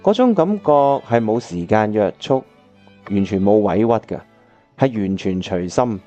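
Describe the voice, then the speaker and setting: A man's voice: lowish voice, animated, measured pace, mellow, muffled recording, faint music behind. A man playing an earnest, reflective bachelor in a Cantonese radio drama, with a Hong Kong accent. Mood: heartfelt speech. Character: earnest, reflective bachelor looking back on old love.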